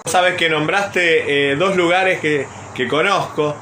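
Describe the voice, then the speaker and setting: Argentine guy from buenos aires area hosting a casual interview, very bright voice, slight noise behind him. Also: on the high side, takes it slowly. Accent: Buenos Aires Argentine Spanish. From Buenos Aires province, Argentina. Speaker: interviewer and program host. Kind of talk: informal conversational interviewing.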